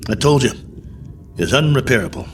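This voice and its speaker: A man's voice: medium pitch, lively intonation, fairly quick, natural voice. Wry stranded astronaut colonel over swelling music, man acting. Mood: weary, matter-of-fact. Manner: clipped, dryly sardonic. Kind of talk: radio drama acting.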